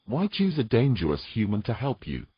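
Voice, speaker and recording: An actor playing a tender, protective man with a husky voice and a gentle, coaxing manner in an intimate fantasy romance. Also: mid-range voice, huge pitch swings, moderate pace, dull audio, slight background noise. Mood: guarded. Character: tender, protective human man soothing a mermaid.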